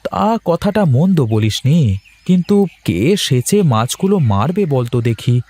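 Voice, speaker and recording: A man's voice: on the high side, very animated, moderate pace, a little mellow. A man voicing a bossy, grumbling but doting village husband in a cartoon. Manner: bossy, emphatic. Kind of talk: cartoon voice acting.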